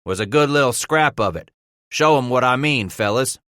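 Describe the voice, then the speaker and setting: A man's voice: mid-range voice, expressive, normal speed, fairly bright tone, smooth, dry recording, clean studio sound, pitched above the performer's own voice, natural voice. A man reading aloud, voicing a boastful, joking male trapper with a frontier drawl.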